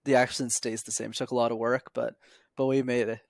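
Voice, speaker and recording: A man's voice: medium pitch, flat delivery, brisk, vocal fry, no echo, studio quality. A young man from Montreal, a public speaking coach, answering interview questions fluently and with an upbeat storytelling manner. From Montreal, Quebec, Canada. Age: young adult. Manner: fluent and upbeat, tells stories with punchlines.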